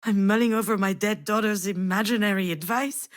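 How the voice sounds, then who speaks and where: A woman's voice: mid-range voice, steady tone, a little fast, close to an everyday voice. A woman playing a troubled, self-doubting, grieving mother in a narrative video game, speaking introspectively. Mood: confused, anguished. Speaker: voice actor in a visual novel game. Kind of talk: dramatic narrative game dialogue and introspective monologue.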